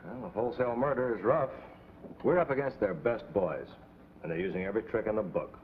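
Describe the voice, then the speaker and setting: An actor playing a grim, worried undercover field agent in a 1950s TV drama, briefing earnestly and urgently. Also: male voice, fairly low pitch, a little fast, lightly acted. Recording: tinny, noisy vintage TV soundtrack.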